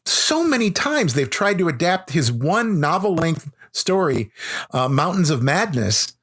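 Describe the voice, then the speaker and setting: A man's voice: on the high side, sing-song, measured pace, a bit bright. A man talking at length as a podcast guest in casual interview chat, sharing opinions with a film buff's enthusiasm. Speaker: podcast guest, horror author.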